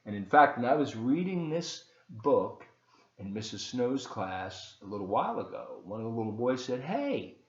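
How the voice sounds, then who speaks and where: A man reading aloud to children as a volunteer storyteller, expressive and encouraging, with chatty asides. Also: mid-range voice, normal speed, echoey room, dull audio.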